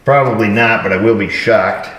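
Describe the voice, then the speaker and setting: A middle-aged mechanic with a lowish voice talks through a repair as he works, dry and wry, poking fun at himself. He sounds like he's in a big echoey garage, with clear shop noise behind him. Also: normal speed. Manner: wry, dry self-mocking asides. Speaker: auto mechanic, shop owner. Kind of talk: casual how-to narration while working.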